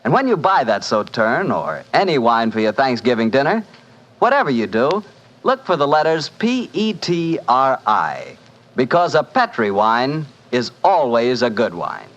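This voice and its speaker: Animated American radio announcer, salesmanlike man. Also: mid-range voice, a little slow. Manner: chatty, salesmanlike, emphatic. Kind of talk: 1940s radio sponsor announcing. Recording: dull 1940s radio recording.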